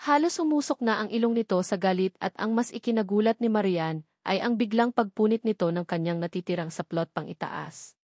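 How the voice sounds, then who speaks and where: A woman's voice: mid-range voice, a little fast, a little mellow, no room sound. A woman reads a dramatic novel aloud as a scripted narrator. Her voice sits in a middle register, and the recording is dry with almost no room sound. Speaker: audiobook narrator.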